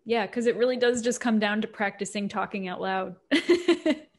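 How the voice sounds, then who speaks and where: A woman in her thirties hosting a meetup over a video call, reading out questions and responding in an unhurried, encouraging way. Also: mid-range voice, a little mellow. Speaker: host. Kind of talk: casual video call chat. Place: room at home. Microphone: video call with earbuds and desk mic.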